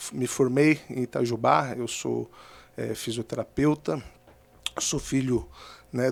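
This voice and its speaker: A Brazilian man in his thirties, a podcast guest, introducing himself in a relaxed conversational way, often tagging "né" and restarting phrases. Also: mid-range voice, creaky. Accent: Brazilian Portuguese, mild Minas Gerais. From Minas Gerais, Brazil. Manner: conversational, frequent "né" tags and restarts. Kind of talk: casual testimony.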